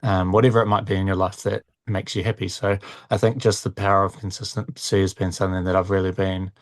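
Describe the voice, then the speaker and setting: Low, dry-sounding reflective man. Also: little pitch movement, quick pace, dry recording. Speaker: podcast co-host. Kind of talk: casual reflective chat.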